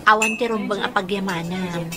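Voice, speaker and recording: An actress playing a young single daughter in a radio comedy drama, bashful, coy and easily exasperated. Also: female voice, mid-range voice, steady tone, normal speed, close to an everyday voice. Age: young adult.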